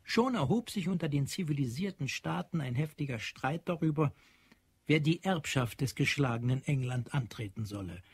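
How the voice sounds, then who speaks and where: A man narrating a radio play from a script in a measured, literary, dramatic manner. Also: a little high, expressive, slightly rough, no room sound. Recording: dry studio with faint music.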